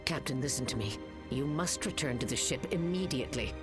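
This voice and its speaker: Low-voiced starship captain hologram, calm authority, swoopy delivery, loud game music behind. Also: female voice, fairly quick. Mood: urgent. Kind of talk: video game cutscene acting.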